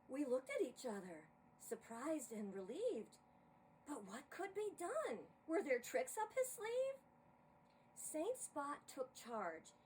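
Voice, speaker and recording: A woman with a high voice reads rhyming verse as a dramatic storyteller. She takes her time and swings her pitch widely. The mic sounds a little distant, with faint noise. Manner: dramatic, expressive. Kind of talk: theatrical verse narration.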